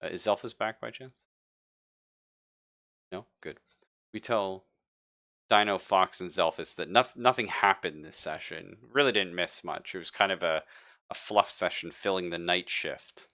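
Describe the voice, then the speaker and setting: A man improvising narration as game master of a tabletop game, telling it haltingly and with suspense. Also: low voice, pushed and bright.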